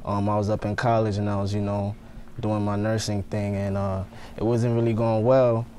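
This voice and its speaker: A young man from Ghana talks easily in a TV studio, his voice low and flat. He drifts along with loose fillers. A faint hum sits under him. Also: normal speed. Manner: laid-back, lots of fillers like "you know what I mean". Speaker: guest, fashion designer. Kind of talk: casual interview answers.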